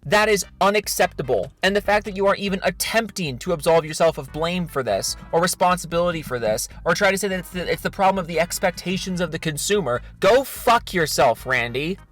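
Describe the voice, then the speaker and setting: Fast-talking, blunt, sweary man. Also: sits high, animated, a bit bright, soft background music. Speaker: video creator. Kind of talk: opinionated rant and reading out supporter names.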